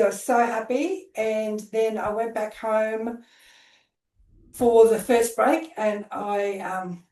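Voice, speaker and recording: A middle-aged woman with an Australian accent talks through her story in a loose, chatty way, full of "um"s and "like"s. Her voice sits at a middle pitch. The recording is roomy, with a clear echo around her. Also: a little mellow, recorded from a distance. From Queensland, Australia. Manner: chatty, rambling with fillers. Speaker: guest, social worker. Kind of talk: casual storytelling interview.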